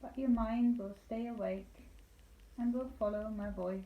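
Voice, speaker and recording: A woman leading a guided meditation as a yoga nidra instructor, speaking calmly and soothingly with deliberate pauses. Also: a little high, muffled recording. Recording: roomy echo, light background noise.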